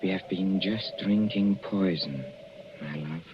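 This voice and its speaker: An actor playing a jealous husband in an old radio suspense drama, sardonic and hesitant, with mock affection and menace. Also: male voice, low-pitched, unhurried. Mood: gloating menace. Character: jealous, menacing husband, coolly mocking as he reveals poisoning. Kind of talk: 1940s radio suspense acting. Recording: noisy muffled old radio recording.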